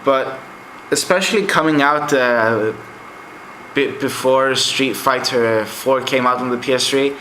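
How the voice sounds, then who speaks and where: A young man whose first language is Greek talks through his games in a loose, chatty way, full of "uh" and "like". His voice is bright and forward. The room is echoey. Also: mid-range voice, a little slow, a little hiss or hum. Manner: rambling and chatty, with many fillers. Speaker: game collector vlogger. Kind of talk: casual game collection review.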